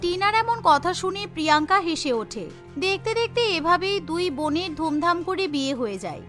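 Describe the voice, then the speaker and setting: Very high, bright woman storyteller. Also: lively intonation, moderate pace. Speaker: narrator. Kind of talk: scripted cartoon story narration. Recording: music behind the voice.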